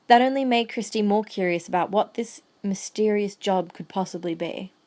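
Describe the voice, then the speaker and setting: A woman serves as narrator of an audio drama. Her voice sits at a medium pitch as she reads scripted story passages. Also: moderate pace, darkish tone.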